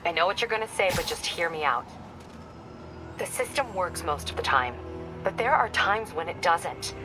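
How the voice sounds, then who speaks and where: An actress playing a hardened, self-righteous vigilante in a video game, measured, resolute and unapologetic. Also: female voice, on the low side, animated, natural voice. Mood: cold, resolute. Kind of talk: dramatic video game dialogue. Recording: clear music bed behind voice.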